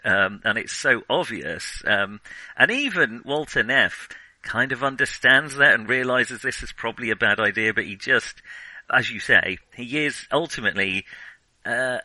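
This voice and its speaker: A man's voice: mid-range voice, expressive, moderate pace, bright, forceful tone, no echo, rough recording. A man co-hosting a podcast, chatting casually about a film, hesitant with many ums and restarts. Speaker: podcast co-host.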